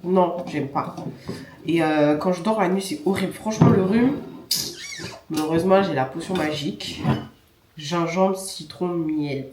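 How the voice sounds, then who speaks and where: A woman's voice: on the low side, measured pace, darkish tone, recorded from a distance, a little hiss or hum. A young woman vlogging casually with a stuffy, nasal voice from a cold, chatting along with filler sounds. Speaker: vlogger and semi-pro handball player. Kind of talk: casual vlog chat. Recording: echoey room with music behind.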